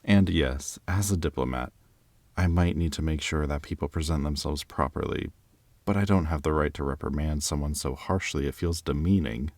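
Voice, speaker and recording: Man acting as very deep-voiced polite diplomat candidate. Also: moderate pace, darkish tone, dry recording. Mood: earnest, contrite. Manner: courteous, thoughtful. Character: polite, reflective, charming male diplomat candidate. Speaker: job candidate. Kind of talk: audio drama acting, conversational interview answers.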